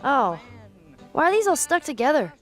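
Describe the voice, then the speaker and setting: A cocky teenage girl survivor, high and lively. She is sarcastic and defiant, with faint music behind her. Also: moderate pace. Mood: teasing.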